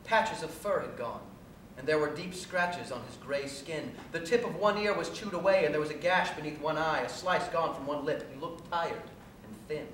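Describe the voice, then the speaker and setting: A man performs a story for a live stage reading, recorded at a distance in a very reverberant space with faint noise beneath. His voice sits a little high, and he tells the story in a deliberate, measured way. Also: fairly bright tone. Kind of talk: live dramatic reading of a first-person story. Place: theatre stage at a live cabaret, recorded from afar.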